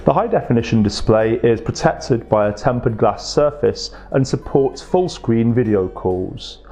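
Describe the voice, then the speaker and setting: A man giving a scripted promotional presentation in a measured, articulate way, as a product presenter. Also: medium pitch, expressive, mellow. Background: music.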